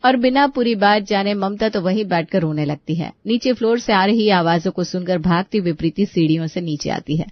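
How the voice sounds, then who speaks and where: A woman's voice: medium pitch, brisk, few pauses, dry recording, highs cut off. A woman storyteller narrating a scripted family tale.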